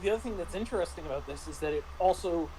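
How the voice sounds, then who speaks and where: A man in a casual tabletop roleplaying discussion, speaking in a halting, explanatory way as a game master and panelist. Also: fairly high pitch, animated, a loud crowd, poor audio.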